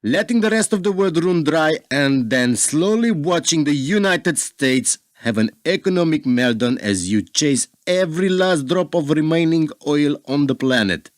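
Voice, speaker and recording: A grave, defiant Russian ambassador played by a man in a radio drama, formal and emphatic. His fairly high voice moves widely in pitch, and the sound is dry and close. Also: expressive, measured pace, few pauses, no room sound.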